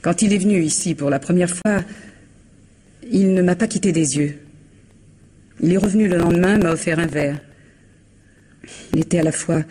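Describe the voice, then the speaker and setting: An actress playing a tartly defiant widowed bar owner in a French radio mystery, measured and evasive. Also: female voice, fairly low pitch, warm tone, breathy edge. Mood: wistful. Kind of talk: 1950s-style French radio mystery acting.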